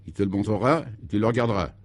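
Man playing a pompous, bossy conspirator, lowish voice. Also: racing, no room sound, close to an everyday voice. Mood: commanding, secretive. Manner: commanding, pompous, exacting. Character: bossy male conspirator giving absurd secret orders.

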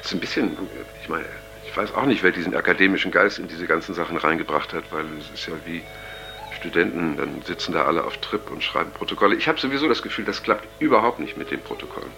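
Young hippie type taping his diary, low creaky voice, halting, earnest, bit uneasy, radio drama. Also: lively intonation, noisy background. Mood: casual, doubtful. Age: young adult. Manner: halting, hesitant, casual, many pauses. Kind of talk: radio drama acting, improvised-sounding monologue.

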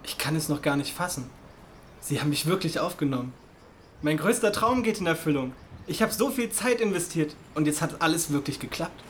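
Casting: man playing an eager schoolboy, fairly high male voice, sincere and plain-spoken, radio play acting. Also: lively intonation, brisk, fairly bright tone, a little hiss or hum. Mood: proud, eager. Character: earnest, eager schoolboy son dreaming of space.

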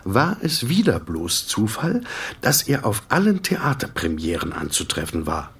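A man's voice: on the low side, huge pitch swings, measured pace, gravelly, husky, distant mic, full character voice. An actor playing a weary, detached middle-aged male patient, narrating his inner thoughts in a reflective, sardonic literary monologue. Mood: questioning, reflective.

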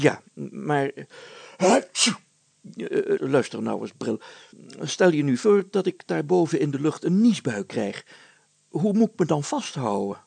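A man's voice: on the high side, sing-song, slow pace, gravelly, a little mellow, husky, dry recording, heavily acted. A man voicing an anxious, blustering village mayor in a storybook, stammering and hesitant, easily thrown into breathless panic. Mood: hesitant, worried.

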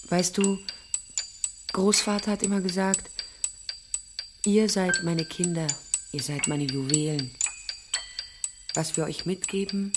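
An actress playing a tender, firm German mother in a radio drama, speaking deliberately, like a solemn storyteller. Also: fairly low pitch. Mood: tender, earnest. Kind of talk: radio drama acting, fairy-tale storytelling. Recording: music behind the voice.

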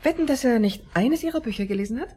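A woman acting in a German radio drama as a weary, sardonic wife with a husky voice and a dry, mocking manner. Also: fairly high pitch, lively intonation, quick pace, mellow. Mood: dryly mocking. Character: sardonic, weary wife of a self-important professor.

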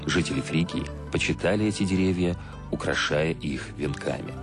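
An actor playing a solemn narrator who reads a dictionary of myths for a radio drama, formal and measured. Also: male voice, sits low, close to an everyday voice. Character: solemn male narrator reading a mythological dictionary entry. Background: music.

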